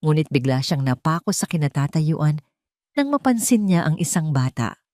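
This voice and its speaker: A woman's voice: sits low, very animated, moderate pace, darkish tone, no room sound, studio quality. A woman with a Filipino accent narrating a scripted romantic drama in a solemn, melodramatic way. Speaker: storyteller. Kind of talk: scripted dramatic audio love story narration.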